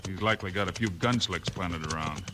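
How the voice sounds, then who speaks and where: A man playing a low-voiced, steady frontier lawman. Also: a little fast, lightly acted. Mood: watchful, calm. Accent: American. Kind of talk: 1950s radio Western acting. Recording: slightly noisy old 1950s radio recording.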